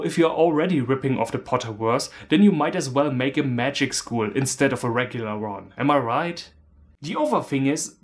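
Sardonic German man with lively pitch. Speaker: film reviewer. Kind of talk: scripted humorous film review.

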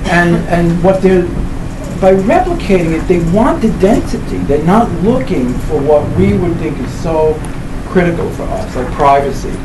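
A man's voice: sits high, expressive. Older man lecturing in a noisy, echoey room. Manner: halting, discursive, with repeated phrases and self-corrections. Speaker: professor giving a book presentation. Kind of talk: informal lecture to a live audience. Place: indoor library event room. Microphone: camera mic a few meters away. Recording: roomy, distant mic with loud background noise.

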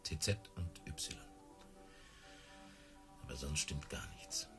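An actor playing a proud, easily hurt circus tamer in radio play dialogue, earnest and touchy. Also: male voice, very deep voice, takes it slowly, over music. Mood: hurt, resigned.

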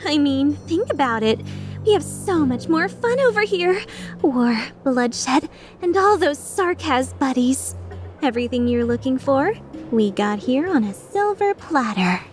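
Woman playing a high, teasing mercenary villainess. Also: sing-song, measured pace, soft background music. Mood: playful persuasion. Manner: teasing, mock-sincere, sardonic. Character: playful, taunting, mischievous young female mercenary villain. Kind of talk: fan dub of game dialogue.

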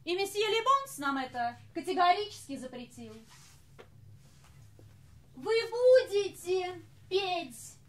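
Haughty, high-pitched orchestra madam, played by an actress. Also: female voice, very animated, heavily acted. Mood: stern, scornful. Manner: imperious, theatrical, measured enunciation. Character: haughty, strict lady leader of a café orchestra. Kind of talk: theatrical stage acting. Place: theatre stage, indoors.

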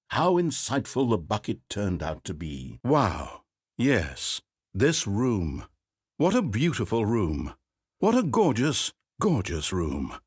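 Wry male game narrator, low, slightly husky, dry studio sound. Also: dry recording, full character voice. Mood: gushing. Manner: measured, wry and theatrical, with sweeping pitch. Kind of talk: scripted narration. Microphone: studio microphone. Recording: dry close studio recording.

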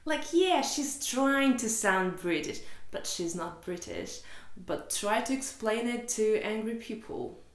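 A woman's voice: a little high, animated, takes it slowly, echoey room. A young woman from Russia with a Russian accent, vlogging to camera in casual, candid speech that turns sarcastic and swears freely. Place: small room indoors.

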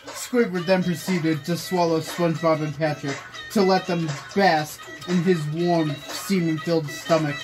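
A man's voice: a little high, steady tone, a little slow, low-quality recording. A young man reading fan fiction aloud to friends in an amused manner, recorded in a bedroom. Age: young adult. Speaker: reader, friend of the video maker. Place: small bedroom, indoors. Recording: room recording with loud background music. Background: music playing in the room.

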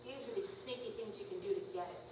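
A middle-aged woman pastor giving a conversational, gently humorous sermon in a small church. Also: fairly high pitch, fast talker, background noise. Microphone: far room camera. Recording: echoey church from far off.

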